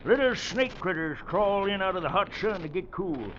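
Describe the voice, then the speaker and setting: An actor playing a cantankerous old American mule skinner in a 1940s radio western, with a folksy drawl and blustery rustic talk. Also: male voice, high voice, animated, moderate pace, a bit bright. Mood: gruff, laconic. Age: older. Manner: crotchety, blustery, rustic dialect. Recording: noisy, muffled 1940s radio recording.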